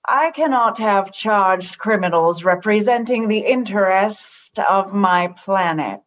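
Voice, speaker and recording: A woman playing a stern, cryptic alien religious and political leader in a sci-fi radio comedy, speaking formally and literally, with measured delivery. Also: mid-range voice, lively intonation.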